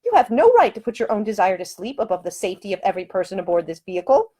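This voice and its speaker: A woman's voice: medium pitch, sing-song, rapid-fire, dark tone. A woman voicing a brave, proper young lady in an audiobook story, with formal, articulate speech. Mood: indignant.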